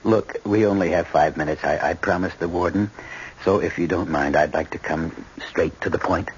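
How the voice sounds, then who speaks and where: Halting, low-voiced middle-aged judge. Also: male voice, slightly rough. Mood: uneasy, earnest. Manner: halting, stammering, hesitant. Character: troubled middle-aged judge, apologetic and earnestly pleading. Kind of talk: 1970s radio drama acting. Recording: dull, noisy old radio broadcast.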